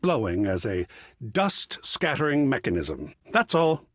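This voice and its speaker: An effusive art curator from a video game, with a wildly swooping pitch and a slightly husky edge. He is refined, fussy and theatrical, lingering over ornate phrases. The recording is dry and close. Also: huge pitch swings, unhurried, no room sound. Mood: fussy, concerned. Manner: florid, fussy, swooping, savoring words. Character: effusive, refined male art curator, an artwork come alive. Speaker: gallery curator character.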